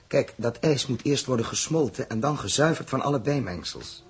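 A man's voice: mid-range voice, expressive, normal speed, slight background noise, lightly acted. An actor playing a matter-of-fact, reassuring spaceship crewman in a 1960s radio drama. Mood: firm, reassuring.

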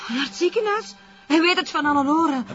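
A woman's voice: high-pitched, animated, fairly quick, fairly bright tone. An actress playing a terrified young woman held hostage in a TV crime drama, pleading with a Flemish accent. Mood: shaken, urgent. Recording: muffled, dull sound.

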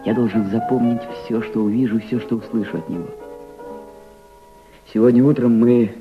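An actor playing an earnest, respectful young diplomatic aide in a radio play. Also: male voice, medium pitch, fairly even intonation, moderate pace, natural voice. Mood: reflective, solemn. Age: young adult. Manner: reflective diary narration, respectful replies. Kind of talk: Soviet radio play acting. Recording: dull old radio recording. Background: piano music.

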